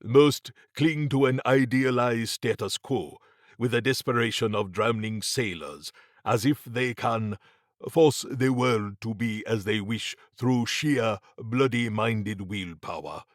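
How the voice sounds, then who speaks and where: A man voicing a wry, erudite gentleman occultist for an audiobook, speaking formally and deliberately with a faintly pompous, understated manner. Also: mid-range voice, dry recording, clean studio sound, close to an everyday voice. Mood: grave, measured. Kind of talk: audiobook character acting.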